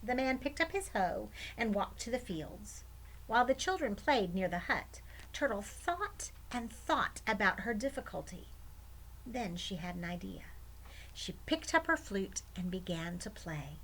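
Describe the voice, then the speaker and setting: Animated woman reading a children's story. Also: mid-range voice, measured pace, low-quality recording. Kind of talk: expressive children's story reading with chatty asides.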